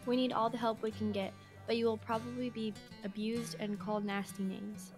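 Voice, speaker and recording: A woman's voice: fairly high pitch, little pitch movement, moderate pace, over music, natural voice. A woman acting a courteous, principled male student protester in an amateur radio drama.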